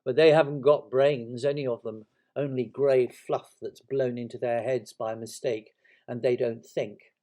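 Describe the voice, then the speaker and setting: A man's voice: mid-range voice, fairly even intonation, unhurried, dark tone, lightly acted. A man voicing a gloomy, self-pitying toy donkey in a storybook, with a melancholy, resigned, grumbling delivery. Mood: mournful.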